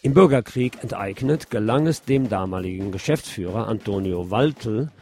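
A middle-aged man hosting a radio show, reading news commentary in a measured, opinionated way. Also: on the low side, lively intonation, warm tone, slight background noise. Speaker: radio host.

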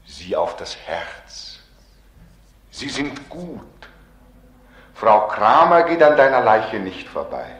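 Consoling, urgent man of optimism, radio drama, roomy sound, very animated pitch. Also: slow pace, pauses often, a little hiss or hum. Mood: urging, solemn. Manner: solemn, urging. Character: insistent, consoling male voice of optimism. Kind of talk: 1940s radio drama acting. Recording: echoing 1940s radio recording.

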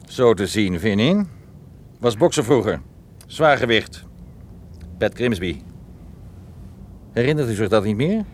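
A man's voice: fairly low pitch, expressive, measured pace, pauses often. An actor playing a huge, menacing ex-boxer club owner in a radio play, wordy and mock-courteous in his threats. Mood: boastful threat. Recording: noisy radio play recording.

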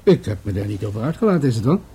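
An actor playing an unruffled police commissioner in a radio drama, dryly ironic and measured as he questions people. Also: lowish voice, very animated, mellow, breathy edge, exaggerated voice. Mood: dryly probing.

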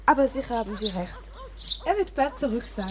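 Eine Schauspielerin spielt in einem Hörspiel ein fürsorgliches, respektvolles Hausmädchen, das besorgt und gesprächig redet. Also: female voice, on the high side, big pitch swings, fairly quick. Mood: solicitous. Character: kindly, fussing, deferential household maid. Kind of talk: radio drama dialogue. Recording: animal sounds and noise behind.

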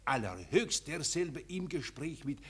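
Fawning, husky foreign press photographer. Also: male voice, a little high, big pitch swings, measured pace, exaggerated voice. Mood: eager, oily. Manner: obsequious, repetitive, fussily directing a pose. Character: fawning, pushy male press photographer with broken German. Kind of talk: 1940s German radio play acting.

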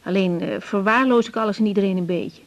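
A woman's voice: mid-range voice, fast talker, natural voice. An actress playing a busy, reassuring young woman doctor in a naturalistic radio drama. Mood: brisk, matter-of-fact. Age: young adult. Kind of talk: naturalistic 1980s Dutch radio drama acting. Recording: slightly dull 1980s radio recording.

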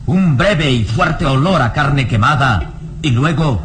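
A man narrating a radio drama in a solemn, deliberate, dramatic scripted style. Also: medium pitch, expressive, music in the background.

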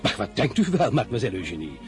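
An actor playing a young ambitious general who is courteous, coaxing and self-assured, in a radio play with music behind him. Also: male voice, lowish voice, big pitch swings, very fast. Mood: persuasive. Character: young ambitious male general, smooth persuader. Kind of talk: 1950s Dutch radio drama acting.

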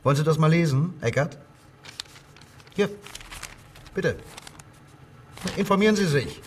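Slightly off the mic with faint hiss, a male 1940s police interrogator in a crime radio play. His intonation swings widely as he needles with feigned joviality and quiet menace. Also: a little high, animated, measured pace, distant mic. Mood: pointed, official. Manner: feigned joviality, probing, insinuating. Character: male Nazi-era police inspector, falsely genial, needling, menacing. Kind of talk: radio crime drama dialogue.

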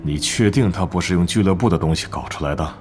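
A man's voice: low-pitched, fast talker, a little mellow, a little hiss or hum, close to an everyday voice. A man playing a stern, scheming middle-aged club manager in a Mandarin audio drama, commanding and interrogating. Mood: probing.

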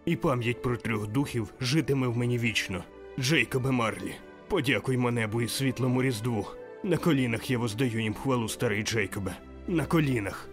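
A man's voice: medium pitch, big pitch swings, fairly quick, faint music behind, voice pushed up, natural voice. A man voicing an old miser turned overjoyed and giddy, exclaiming breathlessly in an audiobook. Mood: fervent. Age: older. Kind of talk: audiobook character voice.

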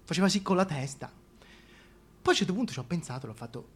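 Man playing a high-voiced gossipy Tuscan single mother. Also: lively intonation, fast talker, breathy edge. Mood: confiding. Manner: gossipy colloquial storytelling. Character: chatty, scheming single mother, a shameless working-class opportunist. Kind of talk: comic radio theatre monologue.

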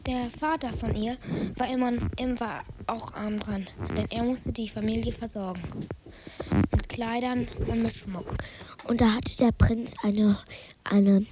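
A child with a breathy, whispery voice retelling a fairy tale haltingly from memory on a hissy tape. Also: mid-range voice, fairly even intonation. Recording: hissy cassette tape with clicks.

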